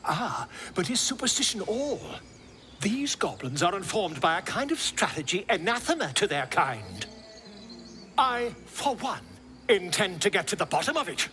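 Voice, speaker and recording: An actor playing a pompous, excitable middle-aged scholar in a video game, with florid, theatrical delivery. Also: male voice, high voice, moderate pace, slight background noise, heavily acted. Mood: eager, dramatic. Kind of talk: video game character acting.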